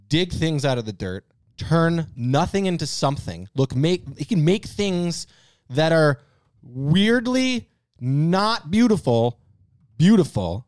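Male panelist, mid-pitched, highly animated, enthusiastic sports-style banter, repeats words for emphasis. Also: no room sound, studio quality. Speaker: podcast panelist. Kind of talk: casual comic banter, sports-draft parody.

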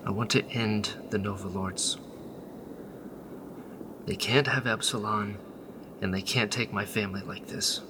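A man's voice: on the low side, steady tone, a little slow, long pauses, noisy background, close to an everyday voice. A man playing an idealistic, principled rebel leader in a sci-fi audio drama, earnest and insistent. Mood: grim resolve. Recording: clear music bed behind.

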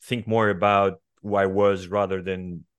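A man in his forties from Portugal with a Portuguese accent, speaking as a guest writer in an interview, thoughtful and hesitant, often pausing and restarting. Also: low-pitched, little pitch movement, clear, resonant tone, dry recording, clean studio sound. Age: 40s. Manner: reflective, hesitant with many fillers and restarts. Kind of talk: conversational interview.

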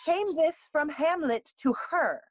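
Regal adult queen, high-pitched and impatient, muffled close recording, classical audio play. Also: animated, unhurried, dry recording. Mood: impatient, concerned. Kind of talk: Shakespearean acting in audio play.

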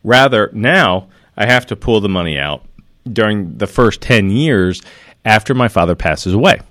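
A man with a fairly low voice talks through money matters earnestly and with emphasis. He builds his points on worked examples and often restarts mid-sentence. The sound is dry and close, with almost no room echo. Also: expressive, normal speed, a bit bright. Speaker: financial commentator. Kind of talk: explanatory talk to camera.

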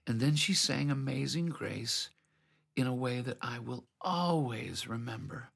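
A man hosting a devotional program, reading a scripted message in an earnest, reflective, unhurried way. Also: mid-range voice, gravelly, a bit bright, husky.

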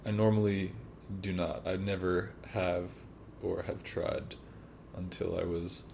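Quiet deep-voiced young man, dry humor. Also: flat delivery, a little slow, creaky, slight background noise. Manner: low-key, dry, quiet, joking. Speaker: guest, host's boyfriend. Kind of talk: casual podcast chat. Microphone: further from the mic, quiet.

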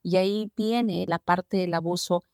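A woman's voice: lowish voice, fairly even intonation, unhurried, darkish tone, dry recording, clean studio sound. A woman hosting an interview podcast, asking long, winding questions with emphasis. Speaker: podcast host.

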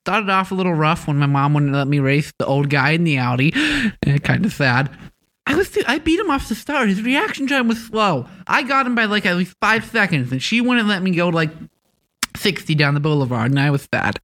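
A man's voice: sits high, lively intonation, normal speed, a bit bright. A man co-hosting a school podcast, joking and bragging playfully. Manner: playful, boastful joker. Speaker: student podcast co-host. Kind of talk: casual chat.

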